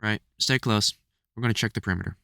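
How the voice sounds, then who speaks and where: An actor playing a gruff, protective vampire hunter who swears and stammers under worry in an audio roleplay. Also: medium pitch, quick pace, pushed and bright, no echo, studio quality. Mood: protective, instructing. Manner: sweary, urgent, stammering when worried. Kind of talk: audio roleplay drama spoken to the listener.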